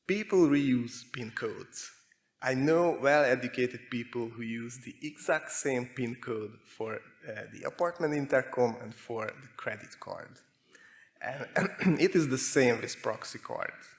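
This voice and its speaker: A man with a Hungarian accent giving a prepared technical presentation, speaking in measured short phrases with pauses. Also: mid-range voice. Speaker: conference speaker, security researcher.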